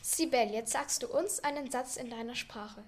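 A woman's voice: a little high, normal speed. A young teenage girl hosting a language quiz for a school radio report, with a teasing quiz-host delivery. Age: young teen. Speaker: student quiz presenter.

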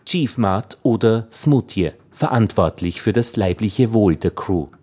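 Mellow, low-pitched male narrator. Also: lively intonation, takes it slowly, breathy edge. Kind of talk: scripted documentary-like narration.

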